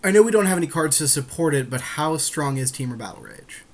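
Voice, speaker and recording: A man's voice: a little high, animated, fast. A man co-hosting a podcast, chatting casually and agreeably about card picks in a game draft. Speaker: podcast co-host.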